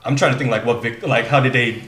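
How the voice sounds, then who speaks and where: A man's voice: medium pitch, steady tone, fast, a bit bright, soft background music. A man in casual podcast chat, slangy, chiming in with short interjections and casual swearing. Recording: echoey room.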